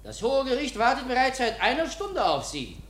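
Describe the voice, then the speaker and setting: A woman's voice: high-pitched, wildly swooping pitch, normal speed, echoey room. A woman acting in a German radio drama as a stern, officious court official who speaks in a clipped way. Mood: stern, reproachful.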